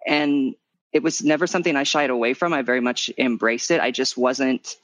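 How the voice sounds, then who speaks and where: A man in his thirties with a light, higher voice, talking openly in a guest interview and lifting his pitch high when animated. His pitch sits around the middle for a man. The recording is dry and close, with no room sound. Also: fairly quick, no room sound. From Missouri. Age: 30s. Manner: expressive, swoops up in pitch when animated, laughs often. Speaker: podcast guest, memoir author. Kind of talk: candid conversational interview.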